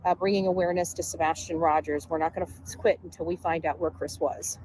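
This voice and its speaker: A woman's voice: lowish voice, fairly even intonation, fast talker, a little mellow, slight background noise, poor audio. A woman giving casual on-location updates to viewers as an amateur investigator.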